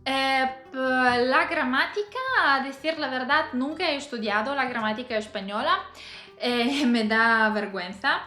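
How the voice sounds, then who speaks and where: A woman's voice: a little high, sharp tone. A woman in her thirties chatting casually to camera as a vlogger, laughing often between hesitant fillers. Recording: roomy echo, faint music behind.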